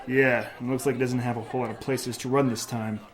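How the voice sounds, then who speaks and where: Hard-boiled private eye, male actor for noir audio drama: impatient, slangy, slightly high male register. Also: little pitch movement, quick pace, crowd noise, lightly acted. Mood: gruff, impatient. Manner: gruff, wry, streetwise slang.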